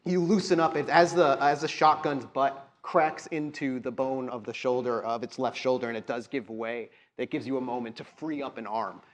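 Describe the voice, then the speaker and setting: A man's voice: medium pitch, fairly even intonation, moderate pace, few pauses. A man acting as game master at a live show, narrating horror scenes in vivid, suspenseful detail between casual rules talk. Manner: vivid, suspenseful descriptive storytelling mixed with casual asides. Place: live convention show before an audience.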